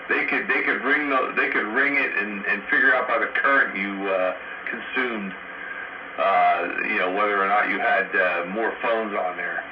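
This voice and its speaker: An older man explaining technical things casually and haltingly over a two-way radio. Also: mid-range voice. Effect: radio transmission. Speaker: amateur radio operator in a group chat. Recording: dull noisy two-way radio transmission.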